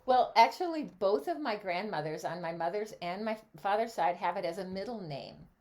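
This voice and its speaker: A woman's voice: medium pitch, animated, moderate pace, darkish tone. An older woman born in Switzerland, a native German speaker, chatting as a guest songleader in a casual interview, rambling with many asides. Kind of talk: casual video chat interview.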